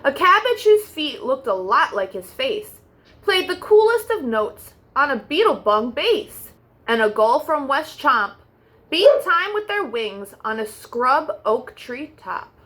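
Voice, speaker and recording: A woman hosting a children's storytime, reading a rhyming picture book aloud in an expressive, sing-song way. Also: sits high, takes it slowly.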